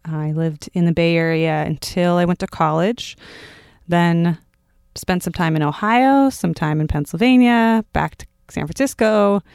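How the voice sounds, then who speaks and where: A woman in her thirties from California, speaking with a fairly low pitch for a woman. She tells stories from her childhood casually and with animation. Her voice sounds dry and close to the mic. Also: unhurried, clean tone, dry recording. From Palo Alto, California. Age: 30s. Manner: animated storyteller, acts out quotes. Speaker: podcast guest. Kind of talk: casual interview storytelling.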